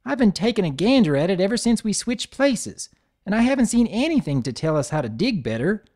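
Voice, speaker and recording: A woman's voice: medium pitch, very animated, brisk, a little mellow, pitched above the performer's own voice. Woman doing a folksy country farm filly. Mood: cheerful. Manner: country drawl, folksy phrasing. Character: folksy country farm girl pony, cheerful.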